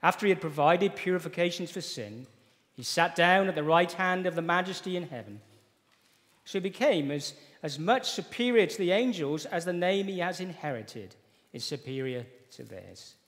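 A man leading a church service, reading scripture aloud in an earnest, measured way and praying. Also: high-pitched, lively intonation, a bit bright. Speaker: church leader introducing the preacher. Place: church sanctuary with wooden panelling. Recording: long reverb of a church hall.

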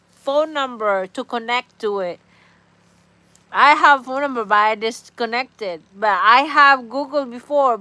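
A woman in her forties with a Filipino accent, chatting casually as a livestream host in simple, halting, repetitive phrases. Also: sits high, bright, forceful tone, no room sound. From Philippines. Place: small indoor room on a couch at home. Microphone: phone at arm's length.

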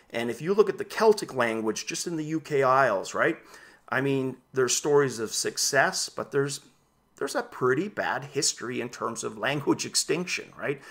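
A man in his thirties whose first language is Dutch, casually presenting VR news and his own opinions to camera from a home room full of game shelves, gesturing as he talks. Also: medium pitch. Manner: animated, gestures while talking. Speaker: VR news vlogger.

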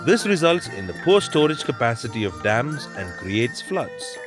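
A man's voice: mid-range voice, big pitch swings, moderate pace. A man reading scripted instructional narration for a documentary. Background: background music.

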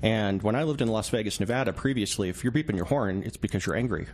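Low-voiced man, reflective interview guest. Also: quick pace, darkish tone. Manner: reflective, explains through small anecdotes and quoted examples. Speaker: newspaper reporter, interview guest. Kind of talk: thoughtful conversational interview. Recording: clear background noise and ambience.

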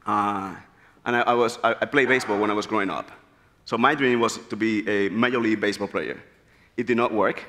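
A man's voice: on the low side, fairly quick. Man preaching in a hall. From Dominican Republic. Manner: animated, gesturing freely, earnest storytelling. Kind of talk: sermon. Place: large church sanctuary, at a pulpit. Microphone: pulpit mic. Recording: long church hall reverb.

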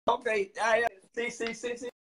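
A man's voice: very high voice, monotone, unhurried, a bit bright, husky. A man chatting casually as a guest on a video call. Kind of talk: casual chat.

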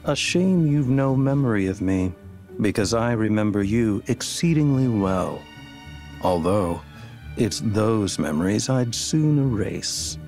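A man's voice: fairly low pitch, lively intonation, slow, a little mellow, faint music behind. A man playing a suave, menacing video game villain who speaks in a courtly, condescending, formal way. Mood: coolly condescending. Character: suave, menacing male villain of a dark organization. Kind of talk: video game cutscene acting.

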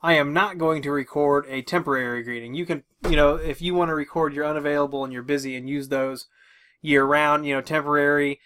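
Man, middle-aged tutorial host, casual step-by-step delivery, pitched a bit high. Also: steady tone, a little fast, a bit bright. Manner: informal, talks through each step as doing it. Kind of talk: casual instructional walkthrough. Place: small room with tech equipment, indoors.

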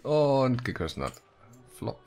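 A man's voice: mid-range voice, big pitch swings, slow, warm tone, a little hiss or hum. A man commentating casually on his gameplay as the host of a gaming video. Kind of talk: casual let's play commentary, reading quests aloud. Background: game music.

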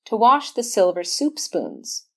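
An actress playing a shrewd, matter-of-fact police sergeant in an old-time radio mystery. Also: female voice, mid-range voice, huge pitch swings, a little slow, fairly bright tone, exaggerated voice. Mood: explaining.

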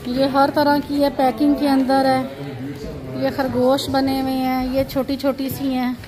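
A woman from Pakistan narrates a casual vlog tour into a handheld phone. Her voice sits high and stays fairly even in pitch, and she keeps drawing the viewer's attention to each thing she sees. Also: a little slow, crowd noise. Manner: pointing things out, repeating "look at this". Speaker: vlogger. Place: chocolate shop and busy shopping street.

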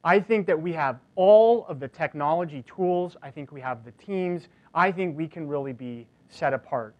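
A man in his forties giving a casual, energetic conference keynote, chatty and full of "like" fillers, in a large lecture hall. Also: a little high, moderate pace, muffled recording. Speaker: keynote speaker. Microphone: lapel mic.

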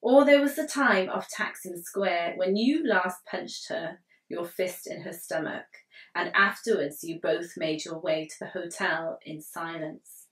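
A young woman poet reading her poetry aloud with measured, deliberate phrasing, recorded indoors in a living room. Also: lowish voice. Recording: roomy living-room echo, distant mic.

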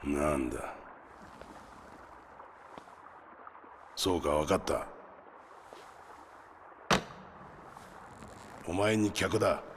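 An actor playing an imposing older underworld boss in a video game drama, commanding and rough in his deliberate speech. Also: rumbling low, animated, noisy background. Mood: curt. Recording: long cavernous reverb, music underneath.

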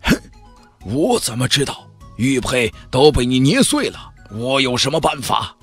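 Stubborn male guard acted by a male narrator: gruff, muffled, resentful grumble, rough-edged. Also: medium pitch, very animated, unhurried, fairly bright tone, faint music behind, full character voice.

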